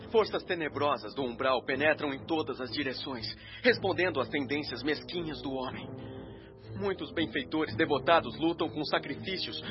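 An actor plays an anxious male announcer sending out a grave appeal over the airwaves in a radio drama. His voice sits fairly high and speaks with solemn, oratorical fervour. The sound is narrow and dull, with music playing loudly behind him. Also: natural voice. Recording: band-limited radio sound under loud music. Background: loud music.

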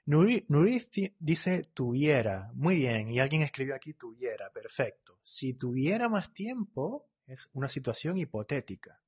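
A man teaching Spanish in a live online class, reading out and correcting viewers' comments. He talks quickly and keeps checking in with "¿vale?" and "¿eh?". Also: medium pitch, very animated, a little mellow, husky.

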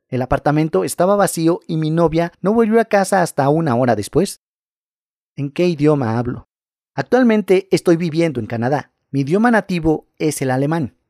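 Higher-voiced man telling eerie tales. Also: a little mellow, dry recording, studio quality. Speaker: narrator. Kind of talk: scripted narration of scary stories.

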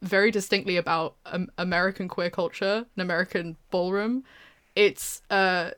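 A woman's voice: fairly low pitch, fairly even intonation, moderate pace, a bit bright, clean tone. A young British woman chatting casually with a co-host, hesitant, with many fillers and restarts. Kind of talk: casual chat.

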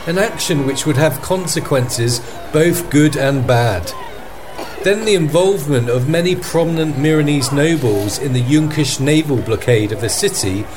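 A man acting as a field correspondent, giving a scripted news report in an earnest, formal manner. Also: on the high side. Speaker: podcast host as field correspondent. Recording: faint background noise and ambience.

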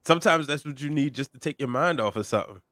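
A man in his thirties hosting a podcast, easygoing and quick to laugh. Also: a little high, fairly bright tone, dry recording. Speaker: podcast host. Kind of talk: casual chat.

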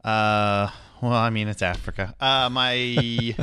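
An American man in his forties from Northern California, a podcast guest telling anecdotes casually in a halting, wry, self-interrupting way. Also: mid-range voice, fairly even intonation, pushed and bright, smooth. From Northern California, United States.

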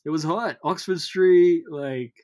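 A man in his thirties, a guest musician whose first language is Tagalog, talking in a relaxed, hesitant way with many pauses. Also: on the high side, lo-fi sound. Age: 30s. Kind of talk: casual interview chat.

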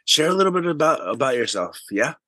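A man hosting an interview podcast, earnest and encouraging, often giving soft murmured agreement. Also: a little high, big pitch swings, fast, dry recording. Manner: earnest, affirming with soft "mm" cues. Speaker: podcast host.

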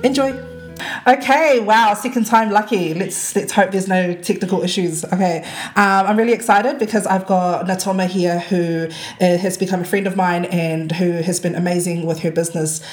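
Female podcast host from New Zealand, lower-pitched, casual interviewing, echoey recording. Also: fairly even intonation, normal speed, faint music behind. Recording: echoey room sound.

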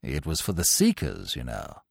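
A man's voice: a bass voice, huge pitch swings, moderate pace, vocal fry, no echo, clean studio sound, pitched below the performer's own voice, full character voice. A man voicing a mild, apologetic young Oxford student with an upper-class English accent and a hesitant, chatty, slangy manner. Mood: sheepish, pleased.

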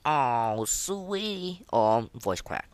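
Casual podcast host, sex ambiguous by ear, mid-low voice, halting and opinionated, close dry sound. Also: very animated, no room sound. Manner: hesitant, halting, rambling delivery; opinionated, slangy. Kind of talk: casual unscripted game review commentary.

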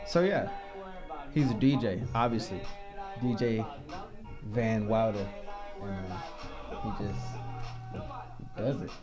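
A man's voice: on the low side, lively intonation, slow pace, pauses often, over music. Laid-back young man hosting a podcast. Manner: loose, laughing, stumbles and restarts often. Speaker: podcast host. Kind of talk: casual chat.

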